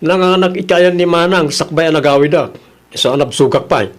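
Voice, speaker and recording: A man acts a high-pitched young Filipino slacker, the cheeky little brother of an audio drama. He is flippant and a bit whiny when he talks back. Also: normal speed, close to an everyday voice. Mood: flippant, carefree. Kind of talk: dramatised audio story acting.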